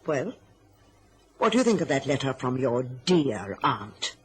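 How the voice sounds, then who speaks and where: A woman's voice: rumbling low, very animated, unhurried, a little hiss or hum, full character voice. An actress playing a bitter, scheming, self-pitying middle-aged mother in an old radio drama, with an indignant, martyred, theatrical manner. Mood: scornful, goading.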